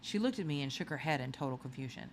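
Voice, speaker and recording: A woman with a low voice narrates a children's novel. Her storytelling is expressive and swings widely in pitch. A little noise sits behind the recording. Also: fast talker, vocal fry. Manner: expressive storytelling with acted dialogue. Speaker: audiobook reader. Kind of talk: scripted narration of a children's novel, read aloud.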